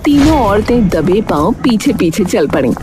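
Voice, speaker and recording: A woman's voice: mid-range voice, sing-song, normal speed. A woman narrating a cartoon moral tale as a storyteller, in a scripted style. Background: music.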